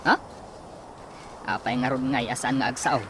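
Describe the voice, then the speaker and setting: A woman in an Ilocano radio comedy drama, nosy and given to loud exclamations. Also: rumbling low, fairly even intonation, moderate pace, background noise. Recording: music bed under the voice.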